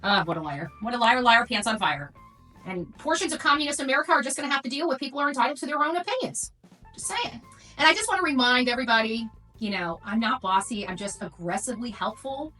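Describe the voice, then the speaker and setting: A woman's voice: fairly high pitch, animated, quick pace, sharp tone, faint music behind, poor audio. A woman talking in an informal livestream monologue, defiant, emphatic and combative. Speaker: livestreamer covering a case.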